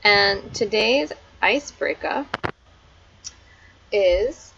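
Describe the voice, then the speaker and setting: A woman's voice: medium pitch, lively intonation, drawn-out words, vocal fry, a bit bright. An Australian woman hosts a casual online chat, taking her time and sounding friendly and welcoming. Her voice sits in an ordinary middle register. The stream audio is a little dull, with a faint hiss underneath. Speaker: book club host.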